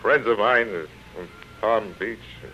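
An actor in a 1930s radio drama playing a proud, broken, drinking man, halting and muttering to himself. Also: fairly low pitch, lightly acted. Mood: wounded pride. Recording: dull, hissy 1930s radio recording.

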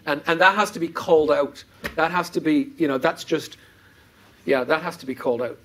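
A man's voice: a little high, a little fast, fairly bright tone. A man in his fifties hosting a fireside chat on a conference stage, earnest and repeating words when he is indignant. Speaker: panel host. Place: conference stage before an audience. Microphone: lapel mic.

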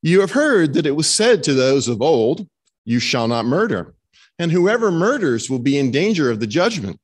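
A middle-aged man reading scripture and doctrine aloud as a minister, formal and measured, at a lectern microphone in a chapel. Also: on the high side, very animated, slightly rough. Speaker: minister leading a church service. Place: stone chapel, indoors. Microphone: lectern microphone on a stand.

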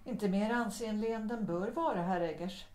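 A woman's voice: mid-range voice, a little mellow, natural voice. A woman acting a proud, determined widowed mother in a Swedish audio drama, speaking in a firm, cool, formal way. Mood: cool, guarded. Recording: roomy, echoing sound.

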